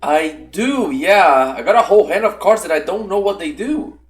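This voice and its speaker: A man's voice: sits high, expressive, normal speed, soft background music. A man playing an earnest, casual young hero duelist in an anime-style card battle roleplay, talking through his moves. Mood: puzzled.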